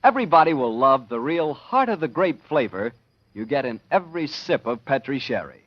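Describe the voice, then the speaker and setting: Animated American vintage radio announcer man. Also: medium pitch, brisk, dry recording. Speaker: announcer and ad spokesman. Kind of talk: 1940s radio announcing and sales pitch. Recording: dull, dry vintage radio recording.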